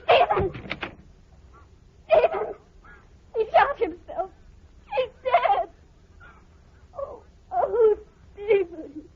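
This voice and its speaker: An actress playing an anguished, passionate young wife in a 1940s radio drama, with melodramatic urgency. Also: female voice, very high-pitched, very animated, drawn-out words, pauses often, no room sound, heavily acted. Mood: grief-stricken. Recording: dull, hissy vintage radio recording.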